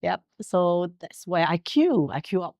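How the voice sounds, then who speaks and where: A woman's voice: fairly low pitch, very animated, measured pace, gravelly, a little mellow, no room sound, clean studio sound. A Singaporean woman in her forties whose first language is Mandarin, telling her career story in a casual interview with frequent laughs and fillers. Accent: Singaporean English. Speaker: guest, hotel director.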